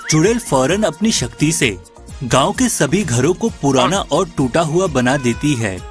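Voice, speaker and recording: Male narrator for animated folk tales; scripted storytelling, fairly high register, light music bed. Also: measured pace.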